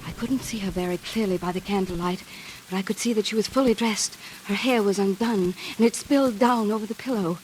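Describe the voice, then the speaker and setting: A woman's voice: medium pitch, fairly quick, hardly any pauses, darkish tone, a little hiss or hum, lightly acted, low-quality recording. An actress playing an anxious, insistent mother in a 1940s radio drama, speaking with earnest, precise, pleading delivery. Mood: haunted recollection. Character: anxious, insistent mother and sister, recalling horror.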